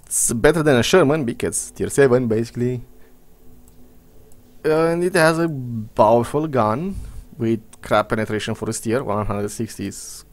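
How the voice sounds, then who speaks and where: A man's voice: mid-range voice, moderate pace. Romanian-accented young man, sarcastic gaming chatter. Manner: rambling, blunt and sarcastic, swears casually. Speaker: gaming commentator. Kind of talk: casual gameplay commentary.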